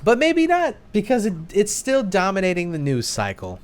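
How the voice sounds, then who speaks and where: A man's voice: high voice, wildly swooping pitch, moderate pace, clear, resonant tone. A man co-hosting a podcast, chatting casually over a beer and rambling with restarts. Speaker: podcast co-host. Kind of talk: casual chat over beers.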